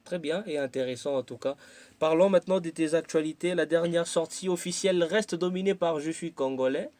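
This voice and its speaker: A man's voice: on the high side, brisk, darkish tone. A man hosting a radio interview who asks his questions in formal announcer phrasing. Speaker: radio host.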